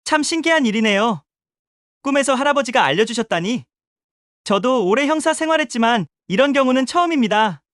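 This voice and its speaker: A voice actor playing a calm, formally polite veteran detective. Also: high voice, a little fast, dry recording, studio quality.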